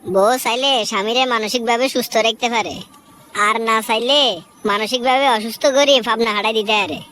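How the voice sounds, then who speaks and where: Man as a pitched-up, harried cartoon husband. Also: steady tone, brisk, sharp tone, slight background noise. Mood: weary. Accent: Noakhali Bangladeshi. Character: harried, long-suffering cartoon husband, an office worker. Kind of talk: comedy cartoon dialogue.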